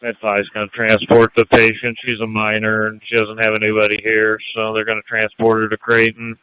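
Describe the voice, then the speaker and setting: A man's voice: on the low side, completely flat delivery, a little fast, clean tone, dry recording. A man on an ambulance crew giving terse, procedural updates over a narrow two-way radio channel. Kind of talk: radio traffic status reports.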